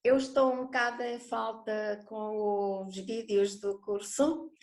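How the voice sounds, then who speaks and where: A woman with a European Portuguese accent speaks as a guest in a relaxed chat. She sounds polite and slightly hesitant. Her voice sits a little high, and the room echoes. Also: slow pace. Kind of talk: casual conversation.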